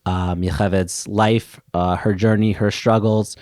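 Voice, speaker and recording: A man's voice: lowish voice, steady tone, a little slow, a little mellow, no room sound, clean studio sound. A man hosting a podcast in a casual, conversational way, somewhat hesitant and repeating words as he goes. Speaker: podcast host.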